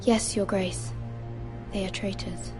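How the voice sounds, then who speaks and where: An actress playing a careful, deferential young northern handmaiden in a fantasy video game, speaking in a subdued, hesitant manner. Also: female voice, mid-range voice, level tone, takes it slowly, lightly acted. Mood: guarded submission. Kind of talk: dramatic video game voice acting. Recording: clear music behind the voice.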